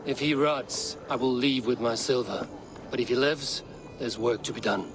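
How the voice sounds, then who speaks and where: A man's voice: mid-range voice, moderate pace, close to an everyday voice. Man playing a grim Viking over background noise. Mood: skeptical. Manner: terse, stern, formal phrasing. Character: grim, blunt male Viking raider leader. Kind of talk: dramatic video game cutscene acting. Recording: clear outdoor ambient noise behind.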